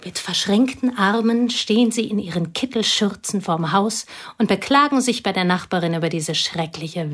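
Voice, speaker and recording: A wistful provincial confidante. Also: female voice, fairly low pitch. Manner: quiet, wistful, partly whispered. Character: provincial woman, Flora's old friend, reminiscing. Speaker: actress in radio drama. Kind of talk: radio drama acting.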